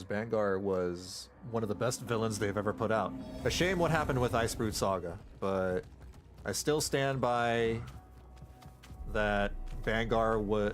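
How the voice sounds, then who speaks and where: A man streaming a game, rambling casually with excited outbursts and stammering restarts. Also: fairly low pitch, slight background noise. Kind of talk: casual live gameplay commentary.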